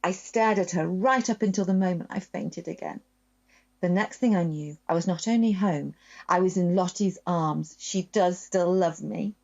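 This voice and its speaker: A woman's voice: lowish voice, moderate pace, creaky, darkish tone. Woman reading a children's story aloud. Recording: dull lo-fi sound.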